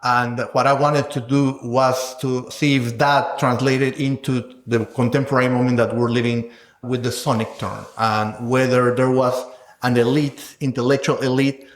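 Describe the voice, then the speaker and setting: A man's voice: medium pitch, level tone, moderate pace. A man speaking as an interviewed scholar, explaining ideas conversationally in a thoughtful way and checking in with small tag questions. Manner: thoughtful, explanatory, tags phrases with "no?" and "right?". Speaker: sound scholar, interviewed guest. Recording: echoey room.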